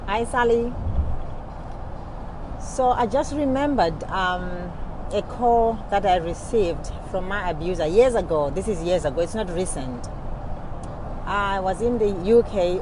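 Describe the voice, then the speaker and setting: A middle-aged woman with a Zimbabwean accent, casually telling a personal story to her vlog viewers from a moving car, with lots of "you know" asides. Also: on the low side. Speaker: vlogger. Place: inside a moving car on a highway. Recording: road noise from a moving car.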